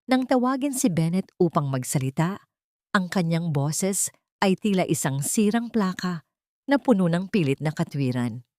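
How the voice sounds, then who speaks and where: Woman narrator, lowish pitch, dry recording, measured solemn storytelling, scripted drama. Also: a little mellow, no room sound. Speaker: narrator of love stories.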